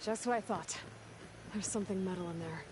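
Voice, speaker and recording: A woman's voice: mid-range voice, brisk, background noise, natural voice. A woman acting a determined, resourceful young adventurer in a video game, focused and matter-of-fact as she thinks aloud. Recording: loud music behind the voice.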